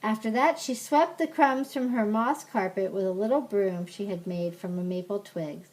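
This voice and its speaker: An older woman reading a storybook aloud like a grandmother, with gentle, simple asides to a young listener. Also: medium pitch, normal speed, rough recording.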